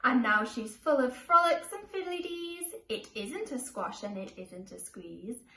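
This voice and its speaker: A woman reading a children's picture book aloud in an expressive, rhythmic, singsong storytelling style. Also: high-pitched, measured pace. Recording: roomy echo, distant microphone.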